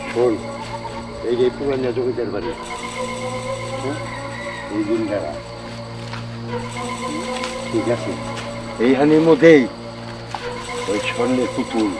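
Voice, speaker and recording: An actor playing a commanding, treasure-hungry gang boss in an amateur drama. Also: male voice, medium pitch, far from the mic, lightly acted, rough recording. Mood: commanding, greedy. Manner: commanding, gives orders to underlings. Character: bossy male gang leader hungry for hidden treasure. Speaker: actor in amateur short film. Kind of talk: amateur comedy drama dialogue. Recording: off-mic, loud music behind. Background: music.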